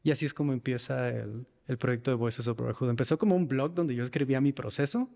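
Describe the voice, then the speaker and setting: Man, northern Mexican accent, medium pitch, reflective interview talk, long room reverb. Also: quick pace, vocal fry, darkish tone. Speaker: podcast guest, men's mental health facilitator.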